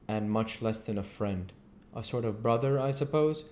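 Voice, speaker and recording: A man voicing an earnest, devoted, brooding gentleman painter from a novel, with an intense and sincere manner. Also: on the low side, moderate pace, lightly acted. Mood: disapproving.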